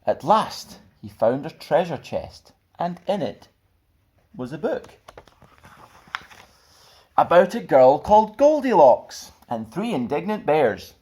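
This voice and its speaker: Animated man reading a children's story. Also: on the high side, takes it slowly, pauses often. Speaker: storyteller and channel host. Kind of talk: expressive children's picture book reading.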